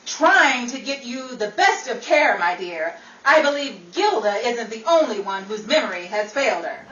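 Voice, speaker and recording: A woman's voice: fairly high pitch, sing-song, normal speed, bright, forceful tone. A woman playing a vain, wisecracking Hollywood actress in an old-time radio comedy style, theatrical and sassy. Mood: sarcastic. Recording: roomy, distant, dull sound with faint noise.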